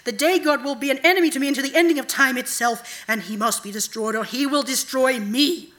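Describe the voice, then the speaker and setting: A woman voicing a cold, manipulative female night god in a deliberate, commanding manner, as in a fantasy audiobook. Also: fairly high pitch, a bit bright, close to an everyday voice. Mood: raging.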